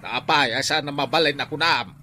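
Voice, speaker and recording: A man playing an exasperated, excitable father scolding in an Ilocano radio comedy drama. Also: on the high side, fast talker.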